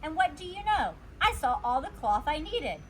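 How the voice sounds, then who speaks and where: A woman reading a children's storybook aloud like a teacher, expressive and emphasizing her words. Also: sits high, normal speed, slight background noise, low-quality recording. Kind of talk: animated storybook read-aloud.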